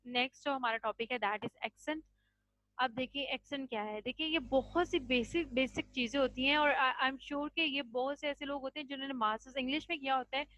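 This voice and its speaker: A woman teaching an English grammar class online, explaining slowly and checking in with "ठीक है" after each point. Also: high-pitched, fairly bright tone, no room sound. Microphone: computer mic on a video call. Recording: dull, dry video-call audio.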